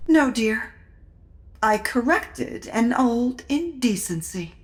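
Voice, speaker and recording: Woman playing a righteous, animated relic thief. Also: fairly high pitch, slow. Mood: defiant. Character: righteous, defiant church agent turned relic thief. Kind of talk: supernatural comedy audio drama acting.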